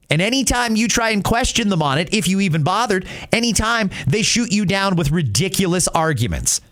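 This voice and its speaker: Animated high-pitched man hosting talk. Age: middle-aged. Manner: emphatic, conversational. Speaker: podcast host. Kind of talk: opinionated talk radio commentary.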